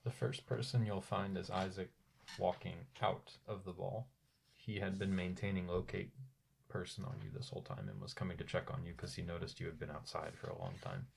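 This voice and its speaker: A man's voice: deep voice, animated, a little fast, vocal fry, a little hiss or hum. A man improvising narration and character lines as a player in a tabletop roleplaying game.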